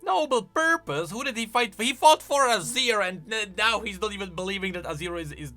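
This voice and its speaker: A man's voice: sits high, a little fast, pushed and bright, faint music behind. A man hosting a video, giving off-the-cuff, emphatic commentary that explains game lore.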